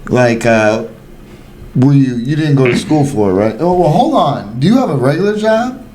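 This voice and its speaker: A man's voice: mid-range voice, expressive, normal speed, warm tone. An American man in his forties hosting a casual podcast chat in a slangy, encouraging way. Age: 40s. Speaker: podcast host.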